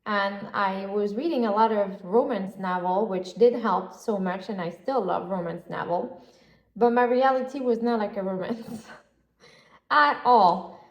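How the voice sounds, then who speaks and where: A chatty woman hosts her solo podcast, gushing and rambling with plenty of fillers. Her voice sits at an ordinary middle pitch. The room around her is roomy and echoey. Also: dull audio. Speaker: podcast host. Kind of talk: casual solo podcast chat. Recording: roomy echo.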